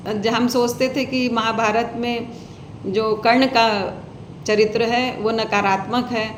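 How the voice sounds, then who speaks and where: Slow, declamatory woman poet in echoey room. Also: on the high side, a little hiss or hum. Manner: earnest, declamatory, repeats poem lines with rising emphasis. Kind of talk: speech on language followed by poetry recitation.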